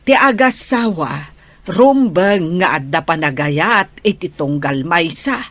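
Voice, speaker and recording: A woman's voice: fairly low pitch, animated, slow, dry recording. A woman playing a principled, scolding older wife in a radio drama, with an Ilocano accent.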